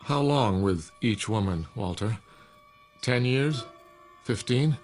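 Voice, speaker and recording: An actor playing an old science professor in a radio drama, sceptical, proud and frightened, with a pointed, challenging manner. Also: male voice, medium pitch, expressive, slow pace, a little mellow. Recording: radio drama with music underneath.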